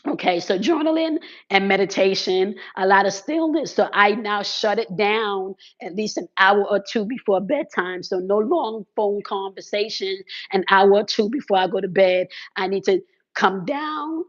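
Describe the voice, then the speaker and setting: A hoarse woman speaks casually to her followers about spiritual practice, her voice crackling from congested sinuses. She keeps checking in with quick "okay"s and "right"s. Her voice sits at an ordinary pitch, and the recording sounds a little dull and lo-fi. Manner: repeats "okay" and "right" as check-ins, uses fillers. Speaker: spiritual content creator. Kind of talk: casual spiritual talk to viewers.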